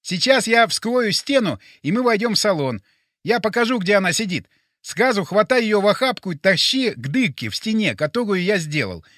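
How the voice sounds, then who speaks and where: A man voicing a bossy, excitable, self-important male sidekick with a speech impediment on his R sounds, speaking emphatically. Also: high-pitched, moderate pace, no echo, clean studio sound, voice pushed up. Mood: urgent, commanding. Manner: emphatic, insistent.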